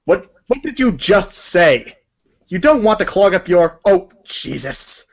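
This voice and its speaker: Higher-voiced man narrating, dull lo-fi sound. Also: very animated, measured pace. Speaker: narrator of a group readers' theater. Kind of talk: read-aloud storybook narration.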